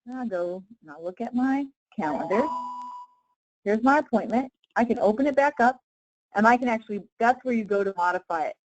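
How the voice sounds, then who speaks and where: A woman leading a casual live software tutorial as a trainer, explaining step by step in an informal way with frequent check-in questions. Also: fairly high pitch, expressive, brisk, highs cut off.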